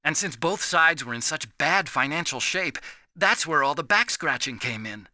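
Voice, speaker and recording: A nerdy young American engineer in a video game. His voice is high and very bright, swinging widely in pitch as he explains things with earnest, anxious emphasis. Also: lively intonation, normal speed, no room sound, clean studio sound. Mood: eager explaining. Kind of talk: dramatic video game voice acting.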